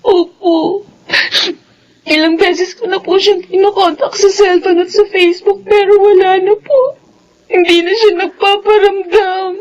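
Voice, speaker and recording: A woman's voice: very high voice, fairly even intonation, unhurried, darkish tone, muffled recording. An actress playing a distraught pregnant young student in a radio drama, pleading and fearful, with music behind her. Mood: subdued, sad. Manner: tearful, pleading.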